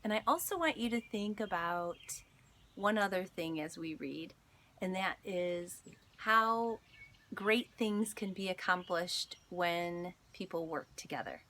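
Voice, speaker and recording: A woman teacher reading a children's story aloud to students, expressive and deliberate, with dramatic pauses. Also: on the low side, a little hiss or hum.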